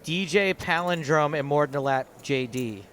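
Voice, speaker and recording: A man's voice: a little high, measured pace, a bit bright, slight background noise. A man commentating on poker hands on a live webcast, a playful co-host who cracks jokes.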